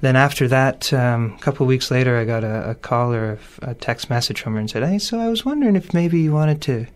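A man, a musician guest in a radio studio, telling a story quickly and chattily with small laughs. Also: medium pitch, lively intonation, clear, resonant tone. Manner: rapid, chatty, laughs between phrases. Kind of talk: casual radio interview chat.

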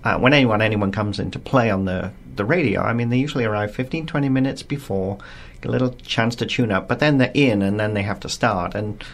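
A man's voice: lowish voice, quick pace, few pauses. A man hosting a live radio interview in the studio, enthusiastic and rambling with restarts. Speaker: radio host.

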